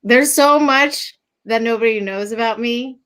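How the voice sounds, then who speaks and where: A woman's voice: fairly high pitch, measured pace, a bit bright. A woman from New Jersey whose first language is Japanese, a realtor being interviewed, giggly and a little coy as she answers. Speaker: guest realtor. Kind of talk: casual interview chat.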